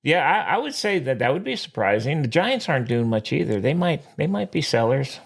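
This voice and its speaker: A man's voice: on the high side, big pitch swings, fairly quick. A man co-hosting a podcast, chatting casually about baseball in a jokey way and now and then singing old jingles. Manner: jokey, sings bits of old jingles, gravelly. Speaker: podcast co-host. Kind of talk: casual sports chat.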